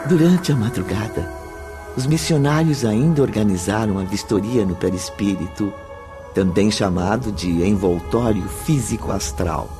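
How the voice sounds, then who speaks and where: A man's voice: fairly low pitch, normal speed. A man with a Brazilian accent narrating a radio drama in a solemn, formal storytelling manner. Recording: background music under the voice.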